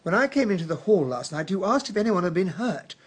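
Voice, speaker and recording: British gentleman narrator, husky, slightly high, proper storytelling, radio mystery. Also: big pitch swings, fairly quick, dry recording, exaggerated voice. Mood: narrating. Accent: British English. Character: English gentleman narrator recounting a detective story. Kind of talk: scripted radio drama narration.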